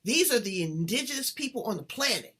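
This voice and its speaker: A woman's voice: a little high, very animated, fairly quick, a bit bright, slightly rough. A woman hosting an interview, talking in an emphatic, folksy way and stretching her words. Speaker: host. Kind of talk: casual interview chat.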